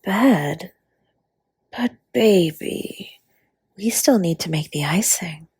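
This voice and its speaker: A woman plays a doting dragon spouse who bakes and flirts in an intimate audio roleplay. The voice is husky and unhurried, teasing and drawn-out, often sinking into a whisper. It is recorded close and dry. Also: lowish voice, expressive, a little mellow, no echo, heavily acted. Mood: flirty, tender. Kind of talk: intimate romantic audio roleplay addressed to listener.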